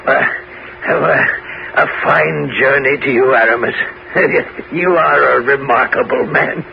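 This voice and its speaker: An actor playing a fussy, affable French prison governor in a 1970s radio drama, with a flustered, stammering delivery. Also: male voice, medium pitch, a little slow, gravelly. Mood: genial. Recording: dull, faintly noisy old radio recording.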